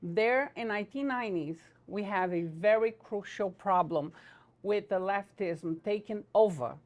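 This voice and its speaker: A woman from Brazil, a Portuguese speaker, answering interview questions as a political candidate in earnest, halting English. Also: mid-range voice, darkish tone.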